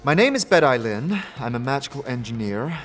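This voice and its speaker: A man's voice: medium pitch, sing-song, normal speed, close to an everyday voice. Curt, secretive female engineer , acted by a man, dry and dismissive, fantasy audio drama with reverb and music. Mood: aloof, guarded. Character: brisk, aloof, secretive female magical engineer. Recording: long reverb, music behind.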